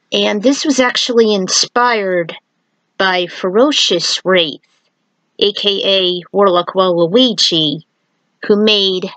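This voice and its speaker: A woman presents her own podcast in a chatty, fan-to-fan manner. She takes her time with the words, at a medium pitch. The sound is dry, with hardly any room on it. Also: fairly bright tone, no echo, low-quality recording. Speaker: podfic creator and host. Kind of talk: podcast introduction, partly read author's note.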